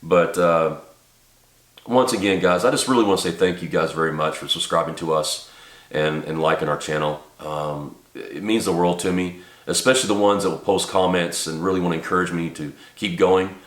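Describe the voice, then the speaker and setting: A man with a very low voice talks to camera, a little stuffed up from a cold. He rambles earnestly, with lots of ums and restarts. The room around him is echoey. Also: normal speed, a bit bright. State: congested from a cold. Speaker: channel host and small business owner. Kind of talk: casual talk to camera. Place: home office.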